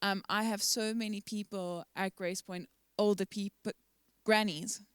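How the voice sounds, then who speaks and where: Woman congregant, mid-pitched, sincere and measured, dry close sound. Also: steady tone, fairly bright tone, clear, resonant tone, dry recording. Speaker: church member. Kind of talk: panel discussion.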